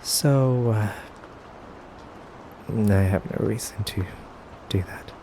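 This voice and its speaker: A man playing an aloof, condescending male vampire in an ASMR roleplay, measured and halting, often dropping toward a breathy whisper. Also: on the low side, warm tone, slight background noise, rough recording. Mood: measured, reflective. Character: aloof, condescending, elegant femboy vampire interrogator. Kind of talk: slow, soft ASMR roleplay monologue.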